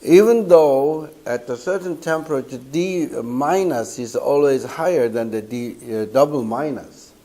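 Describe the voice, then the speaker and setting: An older man, a native Korean speaker with a Korean accent, giving a university lecture, hoarse from a cold and talking haltingly with many fillers, recorded by a distant camera in a lecture room. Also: mid-range voice, dark tone. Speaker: professor. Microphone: far camera.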